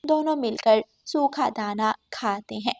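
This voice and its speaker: Casting: female narrator for kids' animated tales, fairly high pitch, unhurried delivery. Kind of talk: scripted children's story narration.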